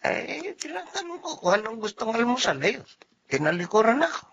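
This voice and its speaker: A man's voice: very high-pitched, sing-song, fairly quick, gravelly, fairly bright tone, breathy edge, full character voice. A man with a Filipino accent playing a small, plucky, caring father in a radio drama. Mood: caring, anxious. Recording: dull, band-limited radio drama sound.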